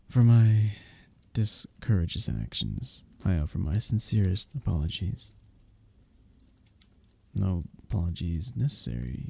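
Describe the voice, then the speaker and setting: Man playing a dark-voiced, breathy, flirtatious medieval innkeeper. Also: sits low, heavily acted. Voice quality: breathy, soft. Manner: flirtatious, courtly, soft chuckles between phrases. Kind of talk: intimate ASMR roleplay with flowery old-fashioned speech.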